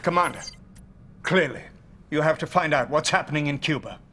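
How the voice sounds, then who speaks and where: An actor playing a stern allied leader who gives firm orders to a commander. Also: male voice, a little high, brisk, pushed and bright. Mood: commanding.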